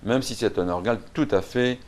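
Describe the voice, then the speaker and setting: A man's voice: mid-range voice, normal speed, darkish tone. A man from Belgium being interviewed as a guest expert, thoughtful and hesitant with many fillers.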